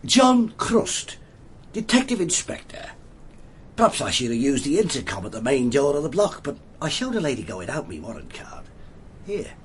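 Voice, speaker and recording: An actor playing a wry, bookish, world-weary detective inspector in a radio drama, with a dry, pompous turn of phrase. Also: male voice, medium pitch, wildly swooping pitch, moderate pace, a little hiss or hum. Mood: courteous, probing. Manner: dry, pompous, quote-laden turns of phrase.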